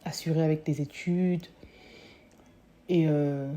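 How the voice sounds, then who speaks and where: Low, mellow-voiced woman from Africa. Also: fairly even intonation. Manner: hesitant, frequent pauses and restarts. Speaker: social work student. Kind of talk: personal testimony.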